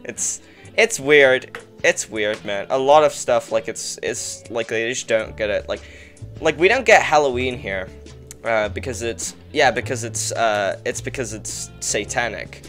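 A man's voice: mid-range voice, expressive, unhurried, fairly bright tone, faint music behind. A young man from England chatting casually as a podcast guest over a video call, rambling and filling his talk with "like". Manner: rambling, heavy use of "like", frequent laughter. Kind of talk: casual chat.

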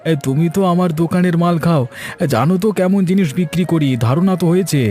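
Man voicing a touchy high-pitched young village vegetable seller. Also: brisk, warm tone, faint music behind, natural voice. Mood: brisk, businesslike. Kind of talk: animated cartoon story dialogue.

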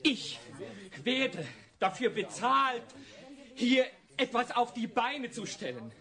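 Bright, cynical radio director. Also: male voice, very high-pitched, very animated, slow pace, full character voice. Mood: cynical, irritated. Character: impatient, cynical male radio director, bossy. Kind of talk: German radio drama dialogue.

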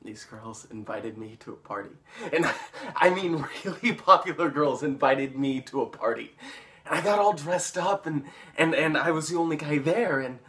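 Casting: male actor as a bullied teen loner, nervous, halting, stammering, darkly unhinged, for a dramatic monologue. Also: medium pitch, slight background noise, close to an everyday voice. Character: awkward, bullied teenage boy, nervous, resentful, darkly unhinged.

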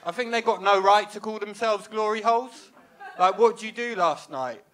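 A young man from England performing a stand-up set on a small club stage into a handheld mic, with a wry, self-deprecating deadpan delivery. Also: high voice, normal speed. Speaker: stand-up comedian. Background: audience laughter.

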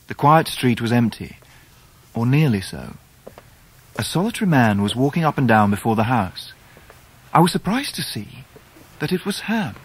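An actor playing a kindly, earnest young gentleman with a polite, gentle manner in a radio drama. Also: medium pitch, sing-song, normal speed, long pauses, slightly rough, low-quality recording. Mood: reflective. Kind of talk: 1990s radio drama acting.

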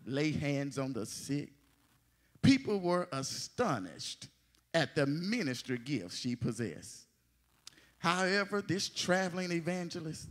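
A man narrating a church play in a deliberate, preacherly way, reading a dramatic script. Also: on the high side. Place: lectern in a large church hall.

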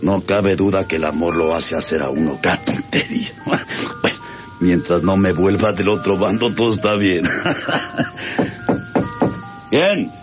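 An actor playing a rich, spoiled, lovesick hacienda owner with a Mexican accent and florid manner in a radio drama. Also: male voice, low-pitched, wildly swooping pitch, measured pace, long pauses, soft background music, exaggerated voice. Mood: blustering, irritable. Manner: florid, blustering.

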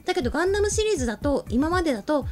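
A woman's voice: sits high, big pitch swings, very fast, a little mellow. A young adult woman chatting casually and explaining things as a talk show co-host at a studio desk microphone. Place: small indoor studio set. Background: faint background music.

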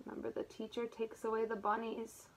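A woman teacher reading a picture book aloud to small children, expressive, with asides and simple explanations. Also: a little high, a little fast, noisy background. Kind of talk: animated read-aloud storytelling for small children.

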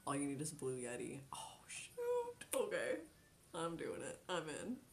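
A young woman in her twenties chatting casually on a live stream from her bedroom, reading chat aloud, giggling often and dropping into soft near-whispers. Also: low voice, wildly swooping pitch, unhurried, slight background noise. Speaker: Twitch streamer. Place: small bedroom at home.

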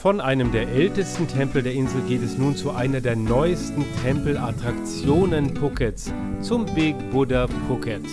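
A man's voice: mid-range voice, animated, normal speed, hardly any pauses, loud music behind the voice. A man in his forties presenting a travel vlog, talking and narrating as he shows places. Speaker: travel vlogger.

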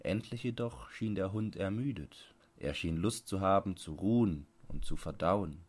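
Male audiobook narrator, literary reading, low pitch, unhurried pace, expressive intonation. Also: husky.

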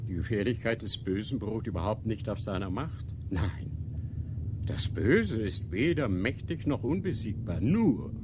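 An actor playing a stern, dogmatic inquisitor in a radio drama, measured and didactic, posing rhetorical questions. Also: male voice, lowish voice, lively intonation, background noise. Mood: stern, lecturing. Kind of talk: literary radio drama acting.

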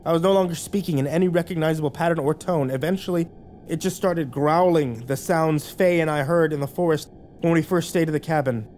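A man narrating a first-person horror story, hushed and tense, with occasional acted lines. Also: fairly high pitch, brisk, slight background noise.

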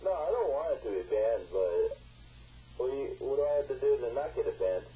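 A man's voice: medium pitch, expressive, a little fast, dark tone. A man chatting casually on a phone call, laid-back and hesitant, sounding unbothered. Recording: faintly noisy, humming phone call over speaker.

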